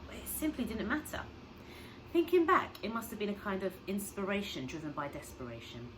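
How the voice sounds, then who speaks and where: A woman reading a children's novel aloud like a schoolteacher, with expressive, dramatic storytelling. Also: medium pitch, a little fast, slight background noise, rough recording.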